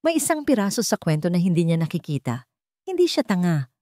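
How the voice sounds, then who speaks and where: A woman narrator reading a scripted romance drama in a measured, dramatic storytelling manner. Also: fairly low pitch, big pitch swings, warm tone, no room sound, studio quality.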